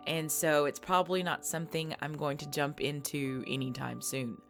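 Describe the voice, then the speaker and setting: Low-voiced woman, soft casual Q&A. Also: level tone, moderate pace. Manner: soft, thoughtful, with fillers and restarts. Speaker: ASMR creator answering viewer questions. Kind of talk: casual Q&A talk. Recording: faint background music.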